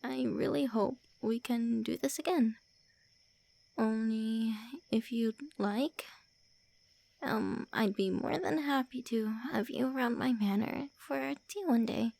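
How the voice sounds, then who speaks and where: A woman's voice: a little high, slow, vocal fry, a little mellow, dry recording. A woman voicing a young-looking female vampire lord who is secretly shy and calls the listener names. She whispers and stammers in an ASMR roleplay. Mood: flustered teasing. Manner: whispery, stammering, brusque teasing. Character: tsundere female vampire lord, centuries-old, looks early twenties, flustered, insulting.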